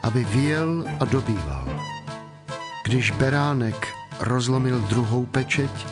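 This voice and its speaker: A man narrating a dramatized scripture reading in a solemn, measured storytelling manner. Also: mid-range voice, animated, music in the background.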